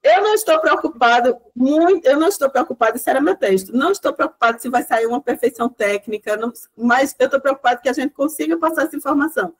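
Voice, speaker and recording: A high, animated woman's voice. She lectures in a chatty, storytelling way, slipping into quoted dialogue and loose fillers. Her pitch swings widely. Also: fast talker, hardly any pauses. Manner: lively, many fillers, acts out quoted speech. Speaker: guest lecturer.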